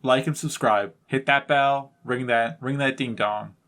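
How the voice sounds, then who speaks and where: A man's voice: medium pitch, steady tone, measured pace, a bit bright. Rambling, self-deprecating man co-hosting a podcast. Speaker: podcast co-host. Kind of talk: casual unscripted banter.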